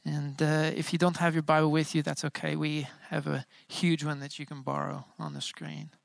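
A man's voice: on the high side, flat delivery, normal speed, vocal fry, no echo. A man in his thirties, a pastor giving a casual, joking sermon with many filler sounds. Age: 30s. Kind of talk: informal bilingual sermon.

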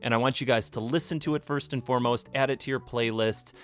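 A man's voice: mid-range voice, fairly even intonation, quick pace, fairly bright tone. A man hosting a children's comedy podcast, moving between storytelling and casual chat.

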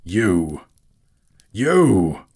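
A man voicing a proud, bitter dwarf king in a dramatic storybook reading, gruff and imperious, declaiming slowly and scornfully. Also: low voice. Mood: raging.

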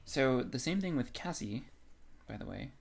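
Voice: medium pitch, expressive, normal speed, gravelly, slightly rough. A podcast co-host discussing books casually, in an informal and agreeable manner.

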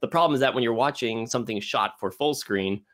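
A man's voice: medium pitch, a little fast, fairly bright tone. A man co-hosting a casual film discussion, talking enthusiastically in a rambling way that halts mid-phrase. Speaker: podcast co-host reviewing films.

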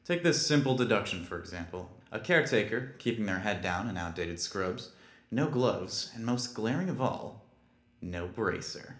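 A man playing a brooding kobold detective in a noir audio drama, wry and terse, with dramatic pauses. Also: fairly low pitch, animated, dry recording. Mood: knowing narration. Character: brooding male kobold detective, hard-boiled noir sleuth.

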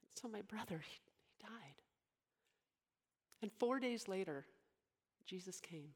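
A young woman playing Mary in a dramatic monologue, speaking emotionally and haltingly, often sinking into soft whispers. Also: medium pitch, expressive. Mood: overwhelmed, reverent. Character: devoted young woman, biblical Mary, deeply moved.

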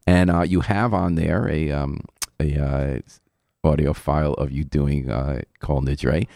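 Low-voiced man hosting a joking interview. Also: steady tone, a little slow, vocal fry, dark tone, no room sound, clean studio sound. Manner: joking, chatty questions. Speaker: radio show host. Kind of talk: casual interview.

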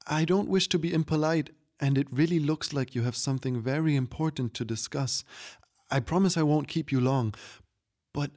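A man's voice: on the high side, moderate pace, a little mellow, voice pushed up, natural voice. A man voicing a polite, earnest young girl witch with a hesitant, courteous manner in a fantasy story. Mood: nervous, pleading.

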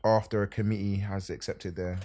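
A man in casual podcast banter, quick to argue a point. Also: lowish voice, level tone, background noise. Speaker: podcast co-host.

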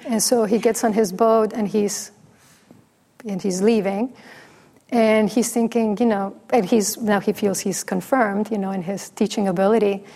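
Female dharma teacher, soft and pausing, a bit of a creaky edge. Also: medium pitch, fairly even intonation. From Mexico. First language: Spanish. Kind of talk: dharma talk with storytelling.

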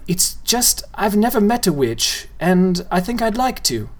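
A man's voice: sits high, big pitch swings, a little slow, voice pushed up, exaggerated voice. A man voicing an earnest, curious young boy in a storybook reading.

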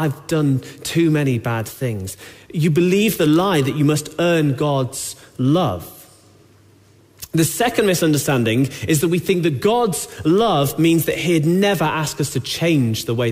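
Male preacher, slightly high voice, sore throat, earnest and measured, long church-hall reverb. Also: a little mellow. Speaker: pastor. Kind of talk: sermon.

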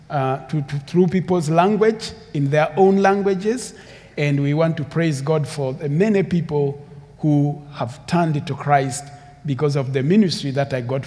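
Ugandan man, guest preacher; slow, earnest delivery, slightly high voice, reverberant church hall. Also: a little mellow. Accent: Ugandan English. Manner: earnest, unhurried preaching with repeated phrases and rising emphasis. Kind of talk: sermon. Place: church sanctuary with long reverb.

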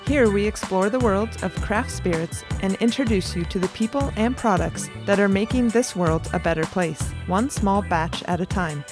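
A woman's voice: mid-range voice, normal speed, hardly any pauses. A woman reading a scripted promotional podcast introduction as its announcer. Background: prominent music.

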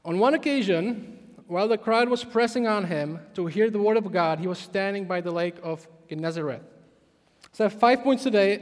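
A man from the Czech Republic with a Czech accent, preaching a sermon as a pastor, animated and emphatic with frequent restarts. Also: sits high, in a large hall.

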